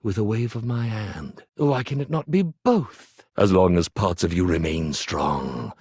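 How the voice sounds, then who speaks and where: An actor playing a grandiose, melodramatic stage magician in a video game, with florid and pompous theatrical diction. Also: male voice, low voice, lively intonation, unhurried, a little mellow, husky, no room sound, studio quality, full character voice. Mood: haughty, mysterious. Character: grandiose theatrical stage magician, living iron and ironing board. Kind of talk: video game character voice acting.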